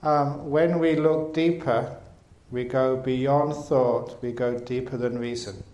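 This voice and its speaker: A man giving a Buddhist teaching as a monk, speaking in short, unhurried phrases with pauses between them. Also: mid-range voice, fairly even intonation, mellow. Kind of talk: dharma talk with an interpreter. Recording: roomy echo.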